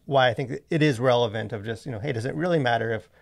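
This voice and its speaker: A man in his thirties co-hosting a casual podcast, talking in a halting, wordy way as he thinks aloud, close on a studio mic. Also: mid-range voice, creaky. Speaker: podcast co-host. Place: small indoor podcast studio. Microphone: close boom-arm studio microphone.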